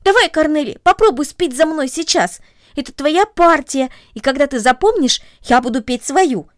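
Storybook role: enthusiastic, gushing young girl, played by a woman, high-pitched. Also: expressive, normal speed, dry recording, studio quality. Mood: eager, urging. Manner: exclamatory, gushing. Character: excitable, enthusiastic young girl who loves singing.

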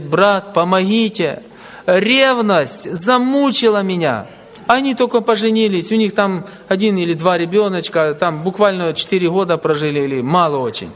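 A man's voice: high-pitched, in a large hall. A man preaching a sermon to brothers and sisters, weaving in stories of church members he met. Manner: tells anecdotes, quotes people.

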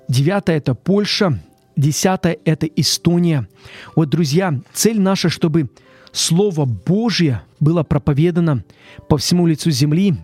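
Guy doing a church radio pledge drive, earnest, keeps saying friends, soft music under him. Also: on the high side, dry recording. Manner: earnest, repeats "friends" often, halting restarts. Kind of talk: live radio fundraising appeal.

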